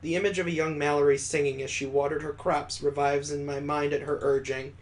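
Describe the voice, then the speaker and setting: High-voiced man reading a game aloud. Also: level tone, a little fast. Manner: wry, joking asides. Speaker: let's play host. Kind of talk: reading visual novel narration aloud with casual asides.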